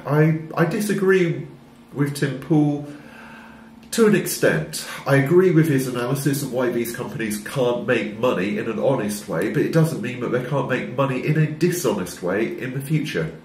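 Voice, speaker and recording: A man, who has a cold, giving a wry, measured opinion vlog to camera in a small room. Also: medium pitch, expressive, echoey room, slight background noise, poor audio. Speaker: commentator. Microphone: wired-in microphone facing camera.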